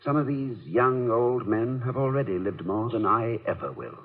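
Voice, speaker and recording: A man's voice: medium pitch, expressive, moderate pace. An actor playing a witty, middle-aged college president and fond husband in a 1950s radio comedy-drama, articulate and dry in his wordy understatement. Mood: reflective. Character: witty, erudite college president, affectionate husband, dryly wry. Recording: muffled old radio recording.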